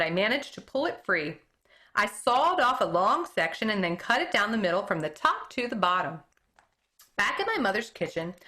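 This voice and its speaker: Woman teacher, storybook read-aloud, bright tone, animated pitch, fixes her slips as she goes. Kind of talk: reading a book chapter aloud.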